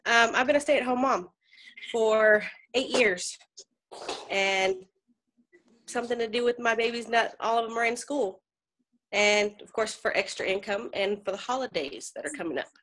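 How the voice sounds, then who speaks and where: A woman's voice: fairly high pitch, normal speed, a bit bright, low-quality recording. A woman, chattily introducing herself on a group video call. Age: 30s. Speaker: new consultant.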